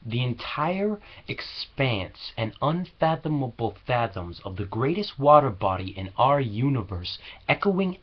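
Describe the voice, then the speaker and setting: A man reciting slam poetry with earnest, deliberate, dramatic phrasing, on a low-quality home recording. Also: dull audio. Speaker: slam poet.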